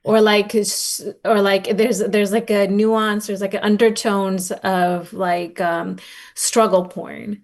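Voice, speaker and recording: A woman's voice: mid-range voice, flat delivery, takes it slowly. A woman with a mid-range voice speaks as an interview guest. She is reflective and informal, thinking aloud and sprinkling in "like" as she retells conversations. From raised in Los Angeles, born in Oaxaca. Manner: reflective, informal, frequent "like" and retold dialogue. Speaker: podcast guest, nonprofit director and advocate.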